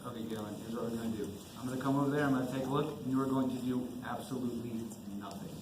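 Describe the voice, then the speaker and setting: A man's voice: medium pitch, steady tone, very fast, lightly acted. Bomb-disposal officer, male student actor, authoritative and plain-spoken, amateur theatre, roomy sound with slight noise. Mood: calm, firm. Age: teens. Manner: blunt, authoritative. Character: gruff, commanding army bomb-disposal major. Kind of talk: amateur stage play acting. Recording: echoey stage, faint noise.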